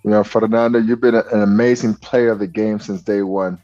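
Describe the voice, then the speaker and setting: A man's voice: lowish voice, steady tone, a little fast. A man hosting a testimonial interview, affirming and encouraging his guest. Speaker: host and coach. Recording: dull lo-fi sound, soft music.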